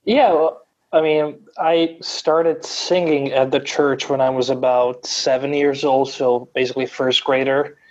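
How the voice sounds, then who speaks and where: A man's voice: mid-range voice, steady tone, a little slow, darkish tone. Young Croatian man with lo-fi sound. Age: 20s. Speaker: guest organist. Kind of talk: podcast interview.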